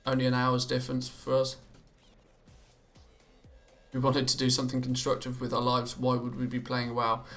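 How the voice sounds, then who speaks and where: Gravelly British man streaming with a bright tone. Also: medium pitch, completely flat delivery, normal speed, pauses often, soft background music. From Britain. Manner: chatty, reads out viewer comments and answers them; often stammers and restarts, laughs easily. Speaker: live streamer. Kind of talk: casual live-stream chat while gaming. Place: home.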